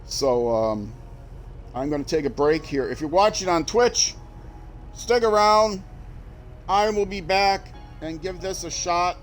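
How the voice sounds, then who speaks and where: A man's voice: high voice, expressive, takes it slowly, soft background music. Rambling Minnesota man streaming a game. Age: older. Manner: rambling, self-deprecating, chuckling to himself. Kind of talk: casual gameplay commentary.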